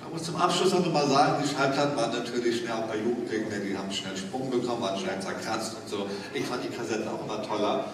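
A man's voice: medium pitch, fast, hardly any pauses. A man talking casually on a stage panel, opinionated and rambling as he goes. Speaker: panel guest from audio drama production. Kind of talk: casual, unscripted panel discussion. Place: stage in a large convention hall. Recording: echoey hall from far off, noisy.

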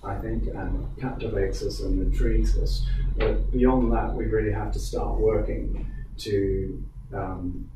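A man's voice: fairly low pitch, fairly even intonation, a little slow, few pauses. A man hosting a gallery conversation, easygoing and prompting questions from the audience. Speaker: conversation host and moderator. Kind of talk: moderating a gallery talk. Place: small gallery room with seated audience. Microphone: far camera. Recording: echoey room from far off, background noise.

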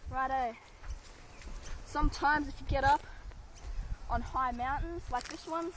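A teenage boy clowning excitably through a mock survival tutorial in a park. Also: very high-pitched, a little slow. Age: teens. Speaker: comedy video maker. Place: outdoors in a park. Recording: outdoor camera audio, loud noise.

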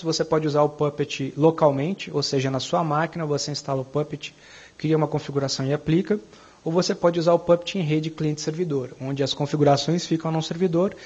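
Brazilian man giving a husky tech talk. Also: on the high side, a little mellow. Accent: Brazilian Portuguese. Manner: conversational, frequent "tá?" tag questions. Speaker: technical speaker. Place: conference room. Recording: dull lo-fi conference recording.

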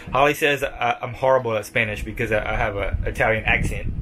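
A man's voice: medium pitch, moderate pace, background noise. A man in his forties co-hosting a sailing vlog, talking casually and joking from the cabin and deck of a sailboat. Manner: upbeat, joking, excitable on deck.

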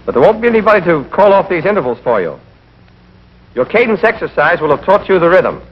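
A man's voice: sits high, sing-song, fast talker, slightly rough. A man with an American accent narrates a military training film as an instructor, speaking directly to trainees in a scripted, instructive way. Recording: dull, band-limited old training film soundtrack.

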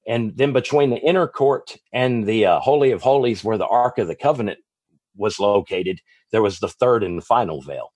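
A man's voice: fairly low pitch, lively intonation, moderate pace, a little mellow, no room sound. A man with a Texas accent, a guest author giving long, rambling answers in a podcast interview, often restarting and correcting himself. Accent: Texan.

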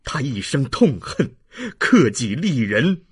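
A narrator plays an earnest male baron from an audiobook novel. His delivery is passionate and declamatory, with hugely animated intonation and a husky edge. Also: mid-range voice, takes it slowly, full character voice. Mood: defensive. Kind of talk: audiobook character acting.

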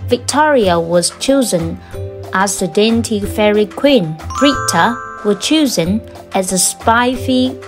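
Slow-reading woman storyteller over music. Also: medium pitch, animated. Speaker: storyteller reading aloud for children. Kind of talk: expressive children's picture book read-aloud. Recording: clear music behind the voice. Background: background music.

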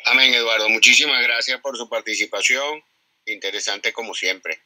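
Host, man, brisk and polite moderator, bright tone, dry sound. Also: mid-range voice, dry recording, rough recording. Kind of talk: moderating a live audio room.

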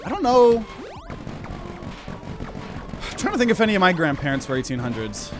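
A man in his twenties streaming a game, chatting with viewers, excitable and swearing freely. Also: high voice, normal speed, music in the background. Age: 20s. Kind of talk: live gameplay commentary.

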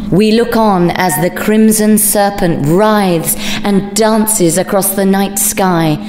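A woman's voice: mid-range voice, takes it slowly, a little hiss or hum. A woman giving a grave, dramatic scripted narration of a science-fiction legend. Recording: echoing voice under loud music. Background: loud music.

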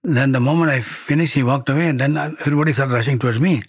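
A man's voice: medium pitch, fast talker, breathy edge. An older man in his seventies with a Malaysian accent, telling personal stories conversationally, acting out dialogue and checking in with the listener. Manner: animated retelling with quoted dialogue and tag questions. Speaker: devotee giving a spiritual testimony. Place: indoor studio set, seated before wood-panelled wall.

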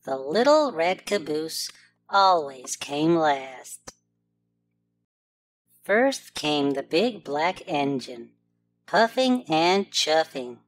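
A woman reading a storybook aloud to preschoolers with clear, deliberate enunciation. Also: low voice. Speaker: children's storybook narrator.

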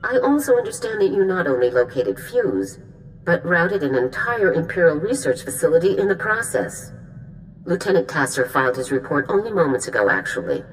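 A stern female general in a sci-fi game, with an extremely low voice that moves expressively. She is formal and composed, measured in every order, over a low rumble. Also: very animated, poor audio. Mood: measured approval. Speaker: military general. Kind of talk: video game voice acting.

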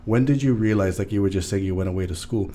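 A man's voice: deep voice, fast, warm tone, a little hiss or hum. A man, hosting a podcast interview in a small studio at a mic, talking animatedly with many restarts. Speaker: podcast host. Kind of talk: casual interview chat. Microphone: studio mic with headphones.

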